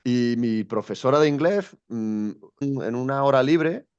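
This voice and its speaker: A man's voice: mid-range voice, unhurried, a little mellow, clean tone, highs cut off. A young man from Spain, a guest telling his story in an interview, thoughtful and hesitant with many restarts. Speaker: guest, education coach.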